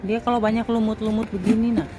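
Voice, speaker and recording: A woman's voice: fairly high pitch, fairly even intonation, fast. A woman narrating her own foraging vlog as a voice-over, chatting with viewers as friends. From Indonesia. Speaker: vlog host. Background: background music.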